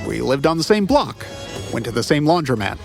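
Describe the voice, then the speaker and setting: A man playing an awkward, self-deprecating ex-cop private eye in a noir comedy audio drama, wry and halting as he banters. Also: mid-range voice, sing-song, creaky, over music. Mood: sheepish, wry.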